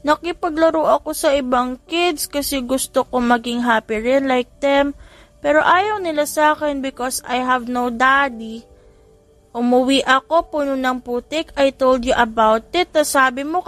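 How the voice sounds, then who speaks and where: A woman's voice: sits high, normal speed, a bit bright, soft background music, voice pushed up. A woman voicing a sweet, wistful little boy who speaks in a childlike, polite, pleading way. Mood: wistful, hurt.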